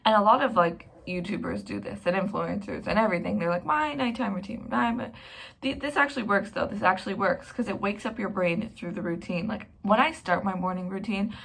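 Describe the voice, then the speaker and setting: A woman's voice: fairly low pitch, a bit bright. Chatty young woman vlogging. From Canada. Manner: chatty and rambling, self-mocking. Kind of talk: casual vlog talk to camera.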